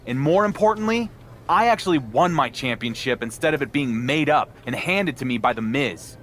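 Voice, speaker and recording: A man's voice: medium pitch, expressive, normal speed, a bit bright, a little hiss or hum, lightly acted. A man playing a wary, frustrated champion wrestler in a game cutscene, speaking in a plain, skeptical way. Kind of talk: acted video game cutscene dialogue.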